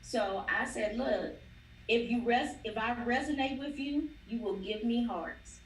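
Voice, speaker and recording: A woman speaks with emphasis and likes to repeat herself for stress. Her voice is fairly high. She sounds distant from the mic in an echoey space, with faint ambience behind her. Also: a little slow, slight background noise. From Nashville, Tennessee. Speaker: interview guest, educator. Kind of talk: casual livestream interview.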